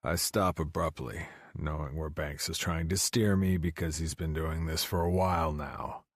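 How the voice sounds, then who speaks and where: A man's voice: very deep voice, fairly even intonation, normal speed, no room sound, clean studio sound. A man playing a driven, hot-tempered finance executive in first-person audiobook narration, intense and blunt. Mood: brooding, determined.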